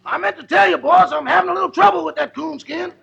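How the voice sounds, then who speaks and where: An actor playing a wisecracking, gravelly-voiced valet who is cheeky with his boss in a 1940s radio comedy. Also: very high-pitched, fast, full character voice. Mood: sassy. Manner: loud, sassy, deadpan comebacks. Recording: noisy, muffled 1940s radio broadcast.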